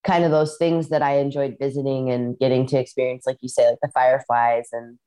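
Low-voiced woman rambling on a video call. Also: flat delivery, fairly quick, dark tone, poor audio. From Midwest US. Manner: rambling, filler-heavy storytelling with many "like"s. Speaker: musician guest. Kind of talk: casual video call interview. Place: farmhouse in rural Iowa.

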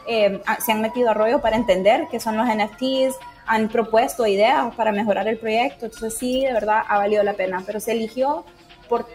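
A woman speaks in a slightly high voice. She explains things with enthusiasm, in the informal style of an interview answer. Faint music plays behind her. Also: fairly even intonation, brisk, a little mellow. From Honduras. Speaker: interviewee, project team member.

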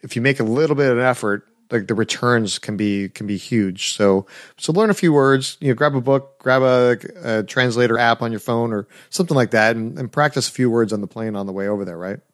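Man, host, middle pitch, conversational, sentence restarts, dry close sound. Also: fairly quick, no echo. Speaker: podcast host. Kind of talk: casual interview questions.

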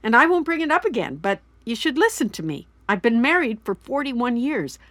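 A woman's voice: a little high, very animated, a little fast, fairly bright tone, no room sound. A woman playing an anxious, guilt-ridden older mother in an audio drama, talkative, earnest and pushy in a rambling way. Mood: insistent, prying. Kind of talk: naturalistic audio drama acting.

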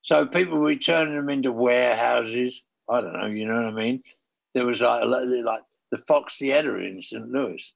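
A man's voice: mid-range voice, moderate pace, gravelly, rough recording. An older man reminiscing in a casual interview as a former tour manager, blunt and swearing, with bursts of enthusiasm.

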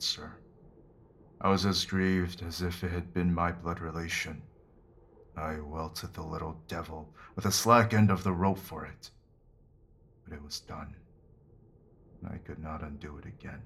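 A deep-voiced, weathered convict played by a man speaks in a period audio drama. He is rueful, earnest and deferential, with rough plain phrasing. Clear ambient noise sits behind his voice. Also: fairly even intonation, unhurried, pauses often, fairly bright tone, heavily acted. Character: weathered middle-aged male convict, rueful and plain-spoken. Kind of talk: audio drama acting of Victorian fiction. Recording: noisy ambient background.

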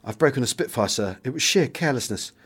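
A man's voice: medium pitch, animated, quick pace, a bit bright, husky. A young British fighter pilot in a wartime audiobook played by a male narrator. He sounds ashamed and bitter, speaking in clipped, contrite phrases.

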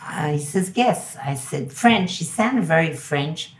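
A woman's voice: low voice, animated, takes it slowly, a little hiss or hum. An older woman from Belgium with a New York accent, a guest telling a story in an interview and acting out the dialogue she quotes.

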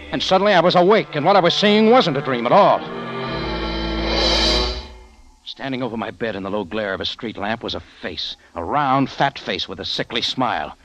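A male actor with an American accent playing a tough, weary adventurer narrating his own story in a wry 1940s radio drama style. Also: a little high. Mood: tense, urgent. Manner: hard-boiled, wry, dramatic. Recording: dull vintage radio recording, music behind.

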